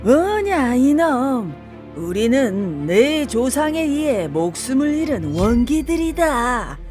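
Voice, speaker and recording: A woman voicing a vengeful, cackling ghost of an executed court eunuch in a folktale, with taunting, drawn-out threats. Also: high voice, sing-song, a little mellow, faint music behind, voice pushed up, heavily acted. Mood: vengeful, accusing. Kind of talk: theatrical folktale storytelling.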